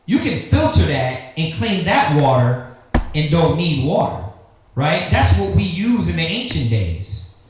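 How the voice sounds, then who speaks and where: An American man teaches scripture like a fiery street preacher, his high voice swinging widely in pitch. He talks confrontationally, with slangy rhetorical questions. The room is very echoey, with faint noise underneath. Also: big pitch swings, unhurried. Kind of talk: fiery teaching sermon with call and response.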